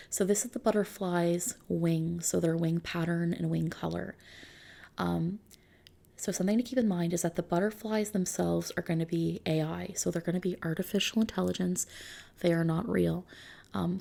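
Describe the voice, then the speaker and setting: A soft-spoken woman doing an ASMR roleplay as a consultant, explaining options to a client in an unhurried manner. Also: fairly low pitch, gravelly.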